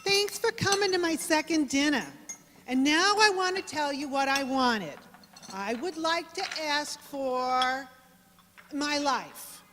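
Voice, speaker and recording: A woman's voice: high voice, slow, noisy background. A woman playing a brave gangster's moll in a 1930s-style radio comedy play. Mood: polite, pleading. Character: Dollface Esther, brave gangster's-moll queen pleading for her people. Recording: echoey hall, music.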